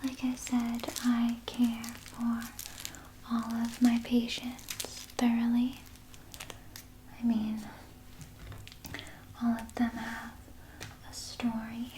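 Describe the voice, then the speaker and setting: A woman playing a soothing, evasive vampire nurse in a soft, breathy ASMR whisper, gently coaxing and sweetly sinister. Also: a little high, monotone, extremely slow, pauses often, background noise. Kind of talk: whispered ASMR personal attention roleplay.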